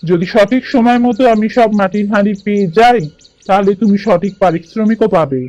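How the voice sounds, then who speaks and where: A man's voice: high voice, a little fast, darkish tone, dry recording, voice pushed down, natural voice. A man voicing a stern, commanding village landlord in a cartoon. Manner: commanding, gives orders.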